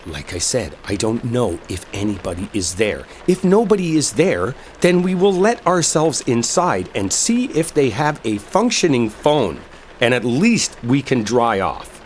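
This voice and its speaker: A man's voice: medium pitch, unhurried. A man acting in a roleplay audio drama as an exasperated, sarcastic guy stranded with a coworker he dislikes. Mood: exasperated, insistent. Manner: sarcastic, heated, swearing. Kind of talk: roleplay audio drama, one side of an argument. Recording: steady rain noise behind voice. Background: rainstorm ambience.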